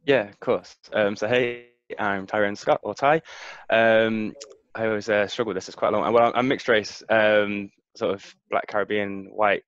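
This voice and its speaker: Muffled, dry lo-fi audio of a man with a fairly low voice. He introduces himself informally and haltingly, as a guest on a panel. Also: fairly even intonation, a bit bright, clear, resonant tone, no echo. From born in Germany. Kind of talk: casual self-introduction. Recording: muffled, lo-fi online call audio.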